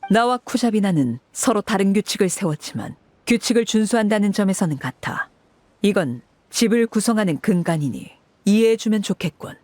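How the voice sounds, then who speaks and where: A woman voicing a stern, cold woman who runs an orphan house as its "Father", speaking in a cool, measured, commanding way. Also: mid-range voice, lively intonation, darkish tone, dry recording, natural voice. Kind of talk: game voice acting.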